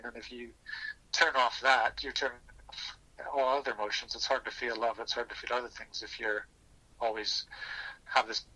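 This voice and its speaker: Guy on a phone interview, really bright sharp voice, earnest, thinking out loud, repeats himself as he goes. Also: mid-range voice. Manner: thinking aloud, earnest, repeating phrase starts. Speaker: podcast guest. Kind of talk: reflective personal storytelling in an interview. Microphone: phone call. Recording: thin, bright telephone line.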